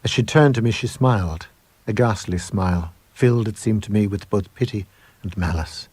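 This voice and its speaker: A man with a low, husky voice narrates a supernatural tale in the first person. His delivery is measured and suspenseful, in the manner of a radio reading. The sound is close and very dry. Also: darkish tone, no room sound. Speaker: reader of a short story.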